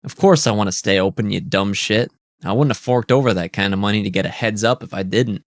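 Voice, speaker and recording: A man's voice: on the low side, steady tone, normal speed, no echo, clean studio sound, lightly acted. A man playing a drunk, snarling company boss with a country twang. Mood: contemptuous. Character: drunk frail older male chemical boss, vicious.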